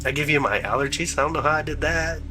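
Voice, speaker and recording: A man's voice: fairly high pitch, fast, background noise. A man hosting a live telescope stream, chatting casually with viewers in a laid-back, slangy way, his voice stuffed up from congestion. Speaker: livestream host of telescope views.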